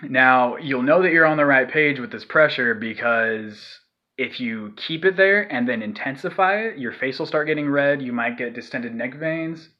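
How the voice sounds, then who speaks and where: A man talks through a vocal technique in a casual, rambling way, full of fillers, restarts and breathy demonstration sighs. His voice sits at an everyday middle pitch. The recording is dull and lo-fi, like a home setup. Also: moderate pace. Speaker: vocal tutorial maker. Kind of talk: casual spoken tutorial.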